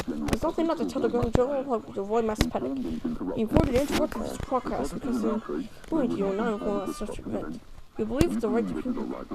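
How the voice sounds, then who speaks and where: Very noisy garbled broadcast, ominous apocalyptic hijacker played by a performer, solemn, preaching, creaky, swooping pitch. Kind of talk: scripted apocalyptic warning broadcast.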